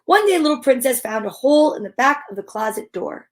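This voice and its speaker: A woman's voice: high voice, animated, fairly quick. A woman hosting a live children's story time, reading aloud and chatting to viewers in an upbeat, gushing, encouraging way.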